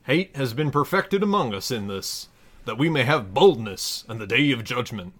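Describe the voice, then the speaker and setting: A man playing a solemn preacher, giving a dramatic, declamatory reading of parody scripture with deliberate phrasing. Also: medium pitch, lively intonation, a bit bright.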